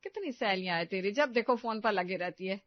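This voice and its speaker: A woman's voice: medium pitch, animated, brisk, no room sound, lightly acted. A melodramatic middle-aged mother, acted by a woman, overprotective and nagging. She scolds and pleads in a comic audio-story manner. The recording is close, dry and a little muffled. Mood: sarcastic, nagging. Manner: scolding, emotionally blackmailing. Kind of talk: comedic Hindi audio story acting.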